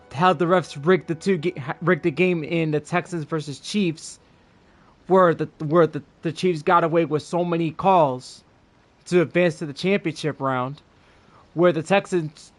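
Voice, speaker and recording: A man's voice: a little high, fairly even intonation, a little slow. A man streaming a game and giving a casual, blunt, sweary rant with emphatic opinions. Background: game music.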